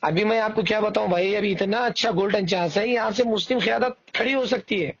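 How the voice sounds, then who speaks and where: A man with a notably high voice and a Marathwada Dakhni accent argues his case on a dull-sounding phone recording. He is indignant and insistent, circling back to repeat his points in informal speech. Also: a little fast, creaky, a bit bright. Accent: Marathwada Dakhni Hindi-Urdu. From Parbhani, Maharashtra, India. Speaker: election candidate and party worker. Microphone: phone call. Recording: muffled recorded phone call.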